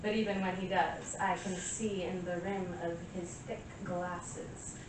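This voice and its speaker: An actress plays a vain, deluded woman convinced of her own irresistible allure. She speaks in a deliberate, self-adoring stage manner with pauses. The sound is distant and very reverberant, with clear background noise. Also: fairly low pitch, flat delivery, natural voice. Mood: grandiose, insistent. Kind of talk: theatrical stage monologue. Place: small live stage venue with audience. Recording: echoey room from far off, noisy.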